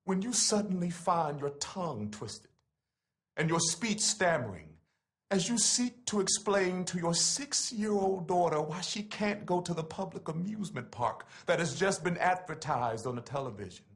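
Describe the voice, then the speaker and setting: A man's voice: high-pitched, animated, moderate pace. A man giving a dramatic recitation of a written letter in an oratorical, impassioned manner with emphatic phrasing.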